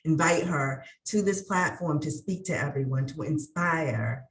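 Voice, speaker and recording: A woman's voice: low voice, measured pace. A woman hosting an interview as a coach, in a conversational style. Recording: roomy echo, distant from mic.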